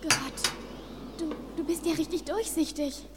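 A woman's voice: very high-pitched, fairly even intonation, unhurried, background noise. A young woman playing an earnest, headstrong teenage daughter, urgent and sincere, in an audio drama. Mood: curious, hushed. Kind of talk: German film audio drama dialogue. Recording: reverberant mix with loud music.

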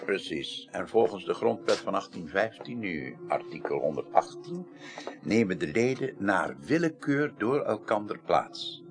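A man's voice: sits low, big pitch swings, unhurried. A man acting a genial, wry, dignified parliament chairman in a Dutch radio crime drama from the early eighties, chatting and murmuring agreement. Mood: wry, explanatory.

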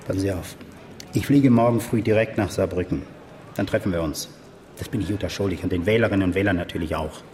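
A quick, lowish male voice from an actor plays a self-important politician in a radio drama. He talks in a hurried, smooth way, with faint noise behind him. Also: a little mellow, natural voice.

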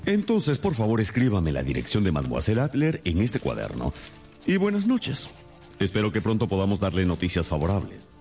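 An actor playing a brilliant, inquisitive detective in a radio drama, brisk and wry, theatrically musing and questioning. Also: male voice, deep voice, wildly swooping pitch, a little mellow, soft background music, full character voice. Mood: intrigued.

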